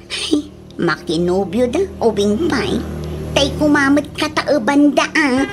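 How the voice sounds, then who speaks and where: Casting: actress as young village daughter, Ilocano accent, highish voice, gossipy conversational radio drama, clear music underneath. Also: female voice, lively intonation, unhurried. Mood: confiding, defensive. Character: young village daughter defending her admired mother. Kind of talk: comedic radio drama acting.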